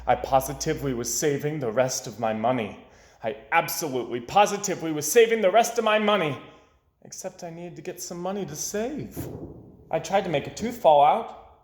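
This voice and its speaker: A man's voice: fairly high pitch, darkish tone, lightly acted. Guy doing a dramatic little kid from a storybook, rueful n emphatic, roomy echo. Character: young boy, dramatic, aggrieved, forever losing his money. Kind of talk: expressive children's storybook read-aloud.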